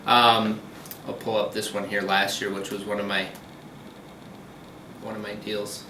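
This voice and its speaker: A man's voice: fairly low pitch, a little slow, reverberant, distant mic, background noise. A man working as a commercial realtor, explaining local deals in a businesslike way during a phone interview. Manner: steady, businesslike explaining with frequent ums.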